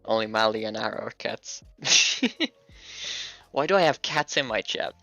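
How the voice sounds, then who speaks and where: A lower-pitched man from Hungary, a native Hungarian speaker, chats casually on his livestream while he works. His voice is bright and forward, and he laughs often. The sound is dry and a bit dull, with faint music behind. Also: a little slow, vocal fry, no room sound. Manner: relaxed, chatty, thinks aloud, laughs often. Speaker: streamer and game developer.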